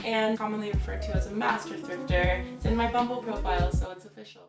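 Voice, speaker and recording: Relaxed young woman, echoey room. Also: medium pitch, quick pace. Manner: relaxed, hesitant with many "um"s. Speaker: guest. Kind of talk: casual chat. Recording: roomy echo, distant mic, background music. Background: background music.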